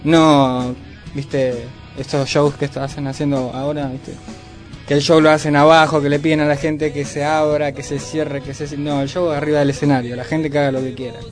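A man, a band's bassist, talking haltingly as a radio guest with many fillers. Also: level tone, faint music behind. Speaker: band bassist and singer, guest. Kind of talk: casual radio interview. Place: radio studio.

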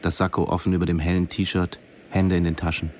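A reserved middle-aged diving instructor played by a male actor tells his story in a radio drama. His voice is low and his delivery sober and detached. There is faint noise behind him. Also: little pitch movement, brisk, natural voice. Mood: sober, reflective. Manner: sober, matter-of-fact narrating. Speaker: narrator and character. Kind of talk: radio drama narration and dialogue.

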